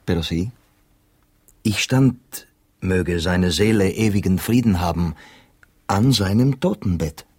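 An actor playing a courtly, wry Spanish gentleman in a German radio drama, formal and measured, with a Spanish accent. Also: deep voice, lively intonation, breathy edge, no room sound, exaggerated voice. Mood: intrigued, grave. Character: courtly Spanish gentleman, middle-aged or older, dignified and wry.

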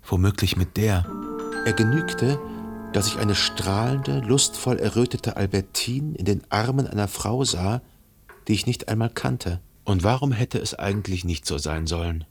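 A jealous, lovesick man narrating his own story in a radio drama. His voice is fairly low. He speaks deliberately and introspectively, as if questioning himself. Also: soft background music. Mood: brooding, jealous. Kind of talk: literary radio drama first-person narration.

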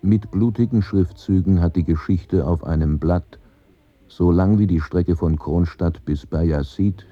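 Solemn revolutionary orator, low dark voice, slow measured recitation, literary radio drama. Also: male voice, breathy edge, no room sound, highs cut off, full character voice. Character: solemn male revolutionary orator proclaiming humanity's rebirth.

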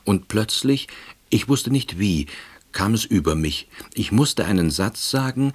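A man's voice: fairly low pitch, lively intonation, measured pace, breathy edge. An actor playing a timid, wistful novice salesman, narrating a radio play in a wry, understated way. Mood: pensive, subdued. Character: timid, wistful East Berlin man turned novice salesman. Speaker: narrator and lead character.

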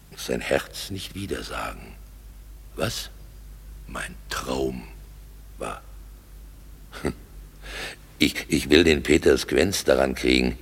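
A man acting a foolish, boastful craftsman in a German radio play, halting and wonderstruck, with a gravelly, husky voice. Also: very deep voice, a bit bright, heavily acted. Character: foolish boastful craftsman waking bewildered from a dream.

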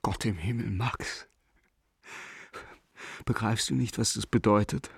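A frightened man begging for mercy in a radio drama letter. His voice is husky and creaky, recorded close and dry. He speaks haltingly and imploringly, sometimes sinking into a whisper. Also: fairly low pitch, fairly even intonation, dry recording, heavily acted. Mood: agitated, accusing. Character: desperate frightened German man pleading by letter with old friend.

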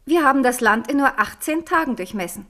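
A woman's voice: high-pitched, animated, brisk, fairly bright tone. An actress playing a proud Protestant queen of Navarre in a 1970s radio drama, speaking in a firm, dignified and pointed way. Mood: guarded.

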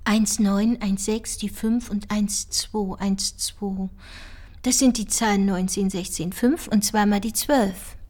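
An actress playing a clever, playful code researcher in a naturalistic radio drama, speaking precisely with a teasing edge. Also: female voice, mid-range voice, steady tone, slow, darkish tone.

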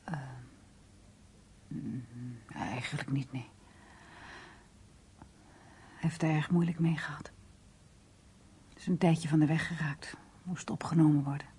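An actress playing a reserved, earnest woman in a radio play, speaking intimately and hesitantly in a hushed, half-whispered, breathy voice. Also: low voice, fairly even intonation, a little slow, pauses often, heavily acted. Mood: hesitant, pained.

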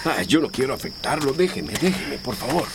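An actor playing an ailing, resigned old migrant who groans, falters and pleads in a Latin American radio drama. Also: male voice, medium pitch, sing-song, moderate pace, creaky, very noisy. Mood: resigned, pleading. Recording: music behind voice.

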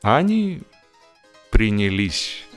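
Expressive male storyteller over music. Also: on the low side. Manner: expressive, theatrical, dry comic storytelling with drawn-out pauses. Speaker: narrator, audiobook reader. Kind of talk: dramatized audiobook narration of a humorous story.

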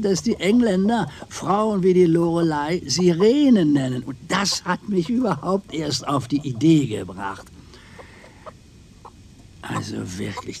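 Creaky, roguish old uncle. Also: male voice, mid-range voice, a little mellow, a little hiss or hum. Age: older. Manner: florid, relishing, teasing. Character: roguish, chatty old uncle, womanising bon vivant. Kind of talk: German radio crime drama acting.

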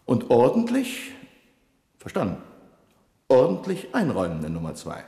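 An actor playing a pedantic, bossy bank manager in a 1970s radio play, stern and officious, giving clipped, emphatic commands. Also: male voice, mid-range voice, huge pitch swings, normal speed, vocal fry, warm tone. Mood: indignant, commanding. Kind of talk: 1970s German-language radio play acting. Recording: echoey, reverberant hall with faint hiss.

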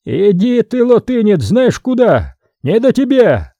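A man doing a snapping, yelling interpreter. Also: sits high, huge pitch swings, measured pace, dry recording, voice pushed up, heavily acted. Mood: snappish. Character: rough young male interpreter, brawling.

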